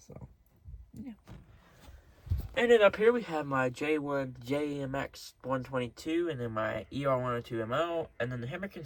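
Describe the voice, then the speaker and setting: Rambling American man, noisy home recording. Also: medium pitch. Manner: rambling, hesitant, self-correcting. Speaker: radio collector showing off collection. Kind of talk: casual, unscripted show-and-tell. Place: indoors at home.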